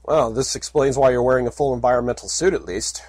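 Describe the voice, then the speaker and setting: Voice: mid-range voice, fast, darkish tone, dry recording, natural voice. A reader doing a dry, skeptical unicorn stallion. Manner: dry, skeptical, matter-of-fact. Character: big skeptical male unicorn guard.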